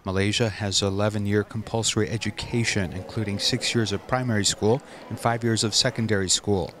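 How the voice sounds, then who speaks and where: Male news narrator, deeper register, steady read over background noise. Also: a little fast.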